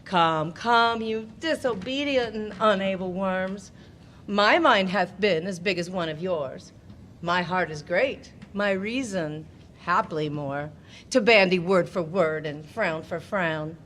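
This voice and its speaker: A woman's voice: fairly low pitch, fairly bright tone, slight background noise. A woman playing Kate, a tamed shrew. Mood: solemn. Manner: measured, declamatory delivery. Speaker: actress in a live audio play. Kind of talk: Shakespearean stage acting.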